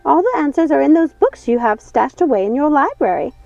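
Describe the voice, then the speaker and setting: A woman voicing an imperious wicked witch in a storybook, scolding and commanding in a sharp manner. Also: high voice, very animated, fairly quick, gravelly, voice pushed up, heavily acted. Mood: blaming. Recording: dull lo-fi sound, music underneath.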